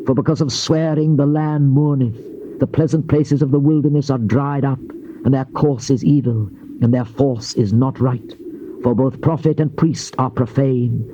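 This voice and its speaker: A man's voice: mid-range voice, fairly even intonation, dark tone, lightly acted. A British actor playing a stern Old Testament prophet, declaiming judgment solemnly in a dramatized King James Bible reading. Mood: stern, denouncing. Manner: solemn, declamatory, archaic phrasing with drawn-out endings. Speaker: scripture narrator voicing a prophet. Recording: muffled, noisy old cassette tape.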